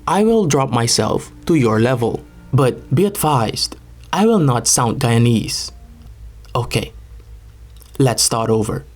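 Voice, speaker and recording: A young man, delivering a sarcastic, indignant comic rant as a mock letter to a rival. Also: a little high. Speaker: comedic video creator. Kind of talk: mock love letter monologue.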